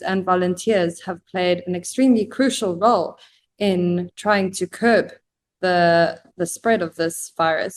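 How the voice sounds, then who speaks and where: A young adult woman hosting a panel discussion, speaking in a formal, measured way. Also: mid-range voice. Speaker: host.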